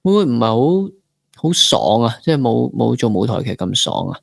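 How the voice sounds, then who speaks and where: A man's voice: medium pitch, very animated, a little fast, gravelly, no echo. A man with a Hong Kong Cantonese accent interviewing casually on a podcast, thinking aloud in colloquial speech. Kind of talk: casual chat.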